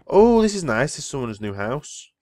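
A man who is feeling ill gives rambling, easily impressed commentary as he plays. His voice sits at a middling pitch, recorded dry and close on a desktop mic. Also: very animated, normal speed, darkish tone, no echo. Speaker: Minecraft let's player. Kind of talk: casual gameplay commentary.

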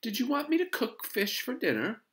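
An adult reader voicing a gently wary, mildly exasperated mother in a children's storybook. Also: mid-range voice, very animated, unhurried, voice pushed up. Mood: wary, surprised.

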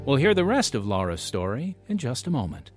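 A man's voice: medium pitch, wildly swooping pitch, a little fast, loud music behind the voice. A man reading a scripted continuity announcement as the announcer of a radio program.

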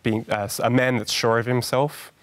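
Thirties male guest, soft-voiced, gentle, measured; studio lapel sound. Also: medium pitch, level tone. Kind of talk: studio discussion. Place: large television studio.

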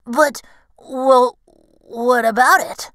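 A woman's voice: high-pitched, very slow, no room sound, clean studio sound, voice pushed up, heavily acted. A woman voicing a young male fox in a storybook, earnest and naive with a confident streak. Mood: puzzled. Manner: earnest, puzzled, excitable.

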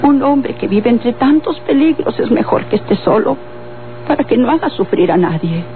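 A woman's voice: a little high, expressive, moderate pace, faint music behind, natural voice. An actress playing a weary, anxious old Mexican grandmother in a radio soap, with a plaintive, dramatic delivery. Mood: weary, brooding. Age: older. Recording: old tinny, noisy radio recording.

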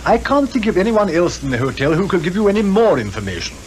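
Obliging, formal British hotelier, lively intonation, faintly noisy 1950s radio recording. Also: male voice, high-pitched, quick pace. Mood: courteous. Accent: British English. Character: courteous, accommodating male manager of an old hotel. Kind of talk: 1950s radio drama acting.

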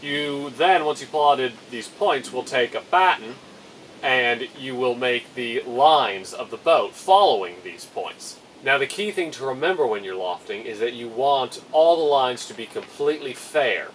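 A young man talks through a woodworking build in a clear, methodical way with a dry sense of humour. He sits a little back from the camera mic, and a faint hum of air conditioning runs underneath. His voice is of average pitch for a man. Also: expressive, unhurried, fairly bright tone, recorded from a distance, rough recording. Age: young adult. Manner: clear, methodical, dryly humorous. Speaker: boatbuilding vlogger. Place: plastic-sheeted polytunnel workshop, indoors.

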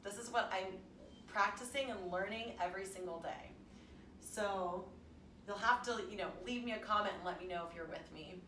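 A woman's voice: medium pitch, normal speed, bright, forceful tone. A chatty, encouraging woman in her thirties leading a casual stretching workout as a fitness instructor, recorded at home in a living room. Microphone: camera a few meters away. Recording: roomy, distant mic, faint room noise.

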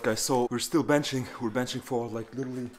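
A man's voice: medium pitch, steady tone, a little fast. A young man vlogging casually about his home gym, laid-back and slangy, swearing often. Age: young adult. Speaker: fitness vlogger. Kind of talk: casual vlog talk. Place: covered outdoor home gym at night. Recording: noisy camera audio.

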